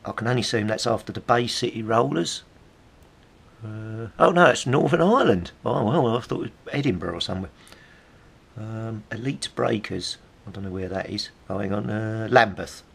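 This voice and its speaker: A man's voice: on the low side, normal speed, creaky, fairly bright tone, husky. A middle-aged British man giving casual, rambling, nostalgic commentary, chatty and musing aloud as he goes. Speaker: hobbyist presenter.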